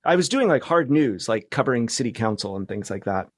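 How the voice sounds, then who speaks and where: A man's voice: mid-range voice, big pitch swings, fairly quick, no room sound. A middle-aged American man from California, recounting his career casually as an interview guest. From California, United States. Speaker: podcast guest, journalist.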